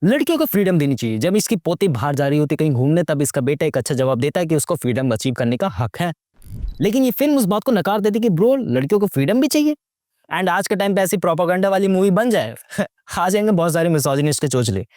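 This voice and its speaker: Animated, high-pitched young man, sarcastic. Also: fast talker, no echo, clean studio sound. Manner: slangy, mocking, rhetorical questions, Hindi mixed with English. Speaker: YouTube movie reviewer. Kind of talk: casual, sarcastic movie commentary.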